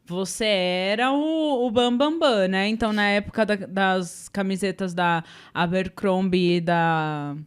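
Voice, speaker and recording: A woman's voice: medium pitch, a little slow, few pauses, clear, resonant tone. A woman with a Brazilian accent chatting casually on a panel, telling examples with playful asides. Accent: Brazilian Portuguese. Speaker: podcast panelist. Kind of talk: casual chat.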